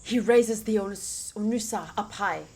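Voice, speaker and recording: A young woman reading visual novel narration aloud as a streamer, expressive and informal, with casual asides. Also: mid-range voice, normal speed, husky.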